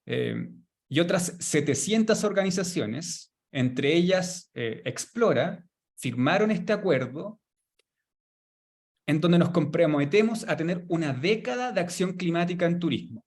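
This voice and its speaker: A man's voice: on the high side, animated, a little slow. A man hosting a webinar, speaking fluently and steadily, introducing a study and the next speaker. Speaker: webinar host.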